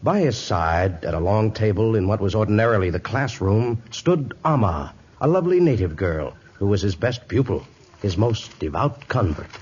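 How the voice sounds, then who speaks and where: A man narrating a 1950s radio drama in a measured, portentous scripted style. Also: on the low side, expressive, a little mellow, slightly rough. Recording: dull old radio recording, reverb, music behind.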